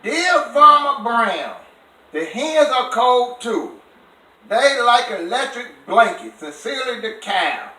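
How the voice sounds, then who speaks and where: An insistent yet polite cow from a storybook, voiced by a man, high and bright. It states its demands slowly and deliberately. The recording is echoey and a little distant. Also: very animated, heavily acted. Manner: measured, slow, deliberate letter-reading delivery. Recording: echoey room, distant microphone.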